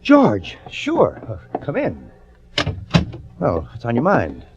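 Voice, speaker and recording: An American actor playing a smooth, ambitious, ruthless prosecutor in a radio suspense drama, urgent, commanding and calculating. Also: male voice, mid-range voice, wildly swooping pitch, slow, exaggerated voice. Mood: firm, persuasive. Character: scheming, smooth, ambitious prosecutor, outwardly friendly, privately ruthless. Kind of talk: 1940s radio acting, with dramatic first-person narration. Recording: dull old-time radio recording.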